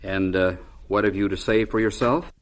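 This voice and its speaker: A man's voice: lowish voice, fairly even intonation, moderate pace, a little hiss or hum, natural voice. An actor playing a stern farm father in a radio drama. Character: stern farm father questioning his child.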